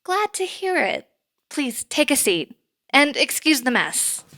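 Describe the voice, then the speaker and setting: A businesslike woman manager in an audio drama. She has a slightly raised pitch and a poised, courteous manner. Her phrasing is formal and measured. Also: big pitch swings, noisy background, heavily acted. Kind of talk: naturalistic audio drama acting.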